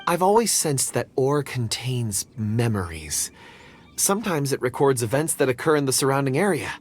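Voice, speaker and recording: A man's voice: mid-range voice, very animated, normal speed. A man acting a polite, earnest and slightly hesitant young man in a video game. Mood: earnest explaining. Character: polite, earnest young man who reads ore memories.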